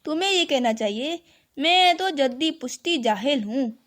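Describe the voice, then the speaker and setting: A woman's voice: high voice, animated, moderate pace, fairly bright tone. A woman voicing a teasing, argumentative male village shopkeeper in a children's cartoon. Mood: mocking. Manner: argumentative, mocking.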